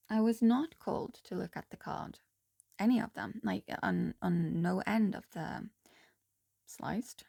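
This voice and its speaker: Slow, soft-spoken hoarse woman reader. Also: medium pitch, no room sound, clean studio sound. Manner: slow, halting, soft-spoken with frequent ums and restarts. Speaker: tarot reader. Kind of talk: casual spiritual tarot reading.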